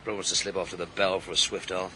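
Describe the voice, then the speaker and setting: An actor playing a world-weary British police inspector, dry and laconic, in a naturalistic crime drama. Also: male voice, sits low, level tone, racing, sharp tone, slight background noise. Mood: wry, weary.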